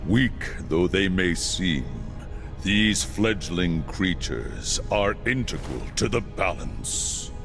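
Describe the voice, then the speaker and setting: An actor playing a solemn, ancient supernatural councillor in a video game, making grave pronouncements. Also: male voice, sits low, animated, slow, over music, full character voice. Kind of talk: video game cutscene acting.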